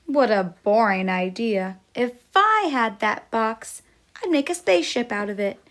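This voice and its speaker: A woman's voice: on the high side, expressive, measured pace, a bit bright. A woman voicing a grumpy, bored young boy bunny in a children's story, sulky and dismissive.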